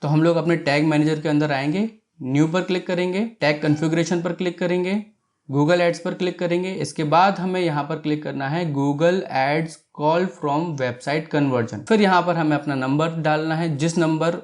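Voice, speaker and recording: A man's voice: a little high, a little mellow. A man presenting a step-by-step screen tutorial, explaining each action and often checking in, with English technical terms mixed in. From India.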